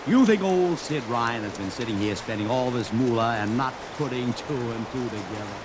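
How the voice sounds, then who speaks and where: A man's voice: mid-range voice, lively intonation, moderate pace, lightly acted. An actor playing a brash, wheeling-dealing publicity promoter in an old radio drama, talking in salesman patter. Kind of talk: 1940s radio acting. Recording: old radio recording with loud hiss and rain.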